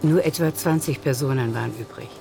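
A woman's voice: a bass voice, a little fast, gravelly. Woman narrator reading a classic novel for a radio play, bit of music underneath. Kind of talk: scripted literary narration for radio drama.

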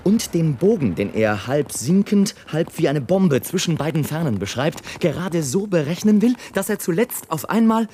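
An actor playing a mocking, indignant balloonist who declaims and mutters theatrical asides in a radio play. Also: male voice, fairly high pitch, very animated, moderate pace, hardly any pauses, a little mellow, a little hiss or hum. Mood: heated declaiming. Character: sardonic, indignant male balloonist watching people from above. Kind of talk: German radio play, literary monologue. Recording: music under the voice.

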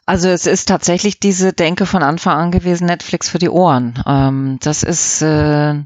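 A woman answering interview questions casually, speaking in a halting but enthusiastic way, on a slightly muffled recording. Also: sits low, fairly even intonation, a little mellow, dry recording. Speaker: interview guest, audio drama editor.

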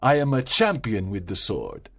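A man voicing a boastful, loud army sergeant in a read-aloud story, slow and emphatic. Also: fairly low pitch, very animated, breathy edge, heavily acted.

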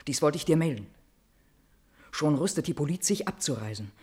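Husky, solemn royal attendant. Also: female voice, low voice, animated, normal speed, a little mellow, exaggerated voice. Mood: urgent reporting. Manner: formal, grave declamation. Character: solemn female attendant bearing bad news to queen. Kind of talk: classical verse tragedy radio play.

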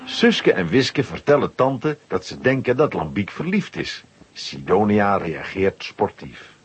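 A man's voice: on the low side, sing-song, unhurried, slight background noise. A man narrating a children's audio drama in scripted storytelling style, addressing listeners directly and playfully.

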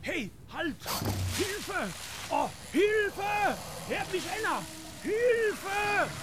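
A stranded person shouting for help from somewhere far away in a radio drama. The cries ring very high and are stretched out slowly. Loud outdoor noise surrounds them. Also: female voice, sing-song, heavily acted. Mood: desperate. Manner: shouting desperately.

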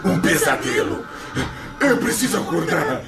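Loud music surrounds a desperate spirit of a suicide in a radio play. His voice sits fairly high and drags slowly through the anguish. He wails, pleads and rages like a soul in torment. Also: big pitch swings, creaky, exaggerated voice. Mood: anguished despair. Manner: anguished, shouting, wailing and pleading. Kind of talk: radio drama acting.

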